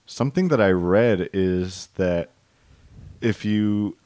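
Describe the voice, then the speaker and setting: A man with a fairly low voice runs a goofy improvised podcast. He talks fast, laughs often and plays up mock panic. The sound is close and dry. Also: darkish tone, no room sound. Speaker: podcast host.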